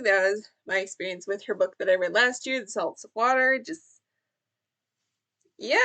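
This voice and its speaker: A woman's voice: on the high side, a bit bright. A young woman chatting about books in a casual vlog, rambling a bit, with fillers and restarts. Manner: chatty and rambling, with frequent fillers and self-corrections. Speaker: book vlogger. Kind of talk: casual book tag vlog.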